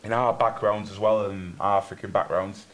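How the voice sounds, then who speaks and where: A man's voice: fairly low pitch, little pitch movement, normal speed, fairly bright tone. A young man from Germany interviewing churchgoers in a loose, rambling way, restarting his questions often.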